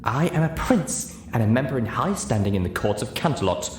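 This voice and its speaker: A man's voice: mid-range voice, sing-song, fairly quick, pitched above the performer's own voice, close to an everyday voice. A man voicing a vain, haughty elderly unicorn stallion prince with a pompous manner in an audiobook story. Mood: haughty, offended. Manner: pompous, self-admiring. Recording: roomy echo, clear background hiss.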